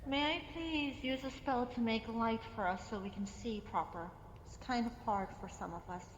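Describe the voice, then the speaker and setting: A woman's voice: on the high side, moderate pace, dull audio. A woman in an improvised online roleplay, talking in character in a polite, coaxing way. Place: online VRChat session. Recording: echoey, reverberant, noisy voice chat.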